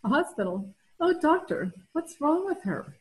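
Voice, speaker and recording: A woman's voice: high voice, big pitch swings, moderate pace, poor audio. An older woman playing a gushing, fretful, stubborn wife in an old-time radio comedy, recorded at home over a video call. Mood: anxious. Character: doting, excitable, stubborn wife smitten with puppy.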